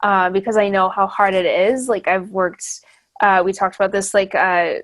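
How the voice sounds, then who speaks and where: A woman's voice: medium pitch, steady tone, moderate pace. A young woman hosting a podcast, chatting casually with many fillers and restarts and laughing easily. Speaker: podcast host. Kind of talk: casual interview chat.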